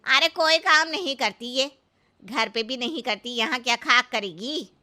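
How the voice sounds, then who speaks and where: Older rustic mother-in-law for comic cartoon: very sharp, slow-drawling, scolding, cluelessly confident. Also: high voice, exaggerated voice. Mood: indignant, scolding. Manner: scolding, literal-minded, folksy, drawn-out delivery. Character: brash, naive village mother-in-law, older woman. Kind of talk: comic cartoon story acting.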